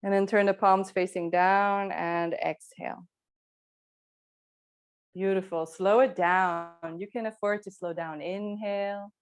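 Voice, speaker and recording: A woman teaching yoga, giving calm, unhurried and gently encouraging guidance through movement and breathing. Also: medium pitch, smooth. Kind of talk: guided slow movement and breathing instruction.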